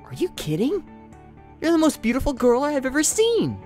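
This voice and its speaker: A woman's voice: sits high, wildly swooping pitch, a little fast, a little mellow, faint music behind. A woman voicing an earnest, bashful young male cartoon hedgehog in a comic dub. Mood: smitten, flustered.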